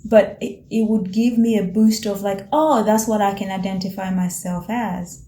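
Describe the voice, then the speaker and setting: A woman from Tanzania whose first language is Swahili, talking to camera in a thoughtful, gently encouraging way and often pausing to search for words. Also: medium pitch, fairly even intonation. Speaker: vlogger. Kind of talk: reflective personal talk to camera. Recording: roomy echo with faint ambient noise.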